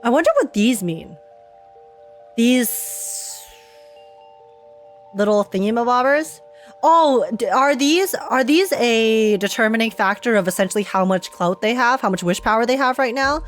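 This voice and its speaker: A woman's voice: fairly high pitch, lively intonation, measured pace, soft background music. A woman gaming streamer giving casual reaction commentary, slangy and thinking aloud.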